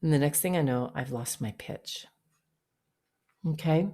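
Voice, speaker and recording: Woman voice teacher, low-voiced, on a video call, explaining and demonstrating. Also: measured pace, warm tone. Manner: explains briefly, then sings short demonstration notes. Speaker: vocal coach. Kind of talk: online voice master class.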